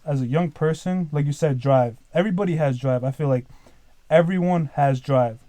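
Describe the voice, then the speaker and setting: A 20-year-old man chatting on a podcast in a relaxed, rambling way, packing his sentences with "like" and "dude". His voice is warm and mellow. It is recorded dry and close, with no room sound. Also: a little high, moderate pace, no room sound. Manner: rambling, leans heavily on "like" and "dude". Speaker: podcast guest, business owner. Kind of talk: casual podcast chat.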